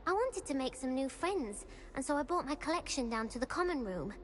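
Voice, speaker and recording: A woman's voice: high-pitched, sing-song, brisk. A woman playing a self-pitying, indignant schoolgirl in a video game, with a theatrical, aggrieved delivery. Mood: plaintive, earnest. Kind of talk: video game character dialogue. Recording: long hall reverb, faint noise.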